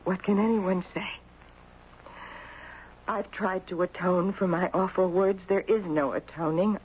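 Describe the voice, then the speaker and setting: An actress playing a guilt-ridden, grieving middle-aged mother in halting, confessional 1970s radio drama style. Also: on the low side, fairly even intonation, a little mellow, natural voice. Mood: anguished, remorseful. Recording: muffled, noisy 1970s radio recording.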